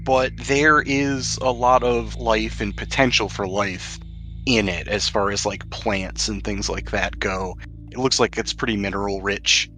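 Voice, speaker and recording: A man with a bright, forward voice runs a tabletop role-playing game as game master. He improvises narration in a casual, conversational, joking manner, with faint music behind him. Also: mid-range voice.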